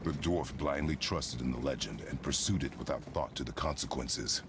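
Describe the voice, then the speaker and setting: An actor playing a stern, battle-worn warrior father in a video game, speaking in terse, grave, commanding phrases with a gravelly voice. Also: moderate pace, noisy background. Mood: grave, instructive. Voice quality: very deep, gravelly. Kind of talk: video game character acting.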